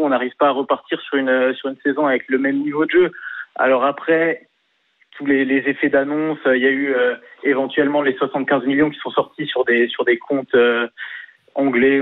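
A man calling a radio phone-in as a football supporter, explaining his worries in a measured way over the phone. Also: medium pitch. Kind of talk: live phone-in opinion talk. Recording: tinny phone line to radio.

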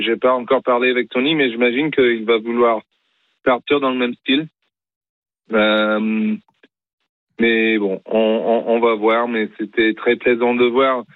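A man's voice: mid-range voice, fairly even intonation, measured pace, no room sound. A man with a British accent, a horse trainer guest talking hesitantly over the phone with many fillers and restarts. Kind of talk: phone interview. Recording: tinny phone line.

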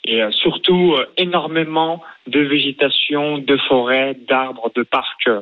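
A man's voice: medium pitch, measured pace. A young man from Saône-et-Loire, calling in to a radio show and speaking hesitantly, with a lot of fillers. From France, Saône-et-Loire. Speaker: caller. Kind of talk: phone-in chat about living abroad. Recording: tinny phone line.

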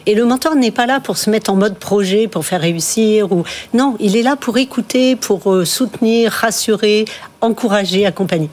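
A woman speaking as an interview guest, explaining mentoring in a steady, clear way. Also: medium pitch, moderate pace.

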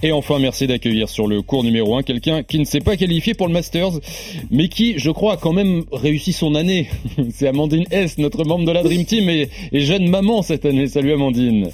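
A man hosting a tennis podcast, introducing guests with quick joking asides. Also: on the high side, expressive, darkish tone, no echo, soft background music. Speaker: podcast host. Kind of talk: casual sports podcast chat.

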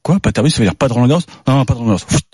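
Husky French tennis pundit telling stories. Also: male voice, mid-range voice, rapid-fire, no room sound, studio quality. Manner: relaxed, telling anecdotes. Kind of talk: anecdotal radio commentary. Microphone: studio microphone.

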